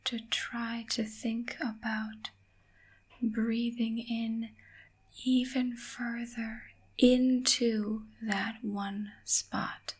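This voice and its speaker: A woman softly whispering a guided ASMR meditation in a gentle, calming manner, leaving long pauses between short phrases. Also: fairly high pitch. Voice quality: soft, breathy whisper.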